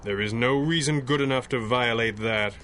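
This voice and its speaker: An actor playing a stern, resolute masked crime-fighter with grave, clipped, formal speech in a 1930s radio adventure style. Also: male voice, medium pitch, moderate pace, fairly bright tone, slight background noise, lightly acted.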